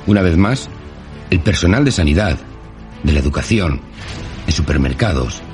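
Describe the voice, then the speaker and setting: Guy playing a tired soldier character in an audio drama, really low voice, bit of music behind. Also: animated, normal speed, darkish tone. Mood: solemn. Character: weary male fictional soldier character, pleading with his writer. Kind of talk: Spanish-language audio fiction acting.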